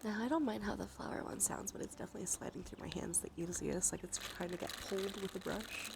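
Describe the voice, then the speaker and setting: A woman streaming ASMR, talking softly and breathily into a close microphone, gently repeating phrases to her live chat. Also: medium pitch, noisy background. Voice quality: whispery, breathy. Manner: gentle, slow repetition of phrases. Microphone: close sensitive ASMR microphone. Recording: soft music, crinkling behind. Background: music, crinkling and brushing sounds.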